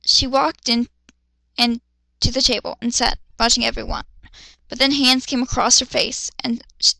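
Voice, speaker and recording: A woman with a high, sharp voice reads fiction dramatically, breaking into exasperated commentary. She is sarcastic and swears freely, with fry in her voice and a close, dry sound. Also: level tone, moderate pace, dry recording, poor audio. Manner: exasperated, sarcastic, foul-mouthed. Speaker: host of dramatic fan fiction readings. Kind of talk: dramatic reading with ranting asides.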